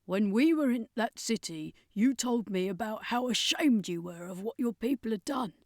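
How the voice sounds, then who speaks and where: A woman's voice: on the high side, expressive, moderate pace, a little mellow, no room sound, clean studio sound, voice pushed up. A woman voicing a worldly, affectionate circus heroine with a drawling manner in an audiobook. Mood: encouraging. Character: warm, worldly circus woman who calls everyone darling.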